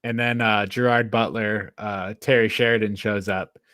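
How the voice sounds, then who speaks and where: A man with a fairly low voice, recorded dry and close. He speaks as a podcast co-host in casual review chat, colloquial and emphatic in his phrasing. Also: fairly even intonation, normal speed, pushed and bright, no echo.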